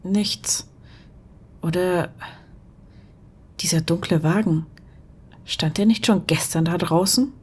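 A woman playing a frightened, desperate woman coerced into spying in a German audio drama, with halting, pleading speech that repeats words. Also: fairly low pitch, darkish tone, breathy edge. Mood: hushed, tense.

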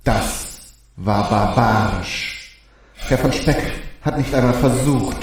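A man's voice: fairly low pitch, darkish tone. A man playing a pompous alien envoy who records a war logbook in a formal, disdainful, theatrical way. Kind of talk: scripted sci-fi logbook narration. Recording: echoey, distant, faintly noisy.

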